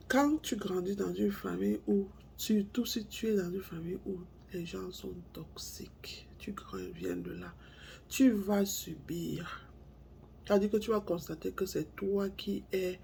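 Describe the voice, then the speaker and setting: Woman with a head cold and a gravelly voice, talking slowly and haltingly to camera. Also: medium pitch, mellow, slight background noise. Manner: slow, halting, repeats herself, drops to near-whispers. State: has a cold, congested. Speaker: spiritual speaker. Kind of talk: casual monologue to viewers.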